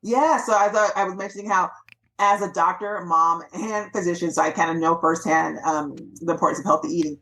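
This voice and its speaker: Casual, chatty woman from los angeles, quick and animated. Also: on the low side, rough recording. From Los Angeles, USA. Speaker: physician and author guest. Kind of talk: casual interview chat.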